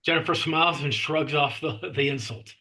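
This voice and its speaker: A man's voice: a little high, normal speed, bright, forceful tone. A man reading stage directions as narrator at a script table read.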